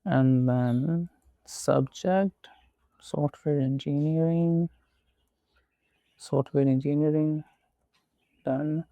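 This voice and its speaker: Slow gravelly man walking through steps. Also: on the high side, fairly even intonation, mellow, dry recording. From Pakistan. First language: not English. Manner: slow, methodical step-by-step instructions. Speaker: tutorial presenter. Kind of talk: screen-recorded walkthrough narration.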